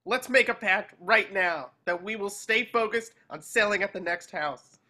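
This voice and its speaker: A man's voice: high-pitched, normal speed, pushed and bright. A man voicing an earnest, eager, boyish cartoon sea sponge in a table read, coaxing as he talks. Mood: hopeful.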